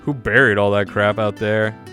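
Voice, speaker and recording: A man's voice: fairly low pitch, steady tone, moderate pace, sharp tone, soft background music. A man voicing a reserved, bookish teenage schoolgirl from a visual novel, dry and reluctant.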